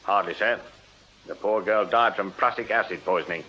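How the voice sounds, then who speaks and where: An English actor playing a shrewd, stern Victorian police sergeant in a radio drama, dry and authoritative in manner. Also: male voice, on the low side, normal speed, bright, forceful tone. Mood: measured. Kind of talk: British radio drama acting. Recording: dull radio drama sound with noise.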